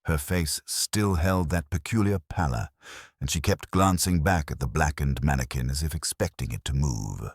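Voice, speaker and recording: A man narrating a scripted mystery story in a measured, literary way with dry irony. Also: a bass voice, breathy edge. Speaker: audiobook narrator.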